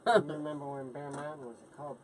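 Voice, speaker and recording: A man's voice: fairly high pitch, little pitch movement, brisk. A man in his sixties helping on a casual livestream, reading viewer comments aloud and adding brief, plainspoken remarks. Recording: faint room noise.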